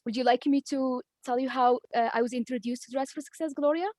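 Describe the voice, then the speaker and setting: Moroccan-accented woman, high voice, dry close sound, earnest storyteller. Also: fairly even intonation, fast talker, a little mellow, dry recording. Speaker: ambassador for a women's nonprofit. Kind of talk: personal story at an online meeting.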